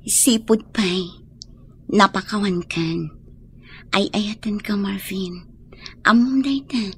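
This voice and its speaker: An actress playing a heartbroken young woman in an Ilocano radio drama, loving but firm, with a breathy, sighing, emotional delivery. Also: medium pitch, slow pace, a little hiss or hum. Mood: sorrowful, firm.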